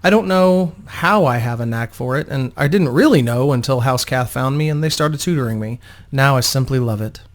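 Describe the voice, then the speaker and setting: A man's voice: medium pitch, moderate pace, close to an everyday voice. Man voicing a polite, timid young woman singer. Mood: polite, modest.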